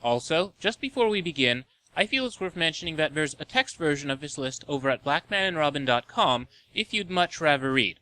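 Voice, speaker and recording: A man's voice: a little high, lively intonation, brisk, few pauses, pushed and bright. A man hosting and narrating a scripted video essay in an opinionated, sardonic manner. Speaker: host of a video game movie list show.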